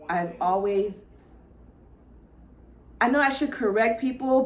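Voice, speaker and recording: A young woman from Florida, a lifestyle vlogger, chatting casually and upbeat to her viewers as she introduces herself. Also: lowish voice. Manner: chatty, upbeat, addresses viewers as y'all and girl.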